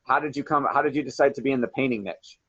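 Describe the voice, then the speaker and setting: A man's voice: medium pitch, fast talker, a bit bright, husky, no echo. Upbeat male interview host, effusive, dry and slightly dull-sounding audio. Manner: upbeat, effusive, frequent "awesome". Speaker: podcast host. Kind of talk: enthusiastic interview questions.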